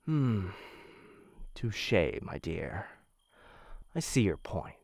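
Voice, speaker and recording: Male actor as aristocratic killer and possessive suitor: deep, courtly, softly menacing, close and dry. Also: sing-song, gravelly, no echo, exaggerated voice. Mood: smooth, coaxing. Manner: courtly, measured, softly menacing, addresses listener as my dear. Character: smooth, dangerous young noble assassin, possessive suitor. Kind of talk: boyfriend ASMR roleplay, intimate scripted acting.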